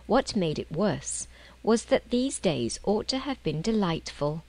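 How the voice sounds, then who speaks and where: A woman narrating a children's storybook in an expressive, measured audiobook style. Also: medium pitch, poor audio.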